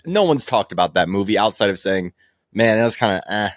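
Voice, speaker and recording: A man's voice: fairly low pitch, lively intonation, a little fast, no echo, clean studio sound. A man chatting as a movie podcast panelist, opinionated and slangy, with some swearing. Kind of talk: casual movie podcast chat.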